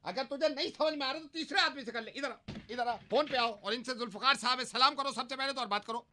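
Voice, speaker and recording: A man's voice: extremely high pitch, fast, bright, forceful tone, a little hiss or hum. A man making a prank phone call in a mock-earnest, argumentative and rambling manner. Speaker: prank caller.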